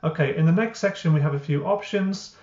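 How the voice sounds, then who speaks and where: A man, the founder of a language app, walking through his software step by step in an explanatory way, with frequent uh and um. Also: fairly high pitch, brisk. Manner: explanatory, many uh and um fillers. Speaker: software founder giving a tutorial. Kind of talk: screen-recorded software walkthrough. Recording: roomy, dull lo-fi.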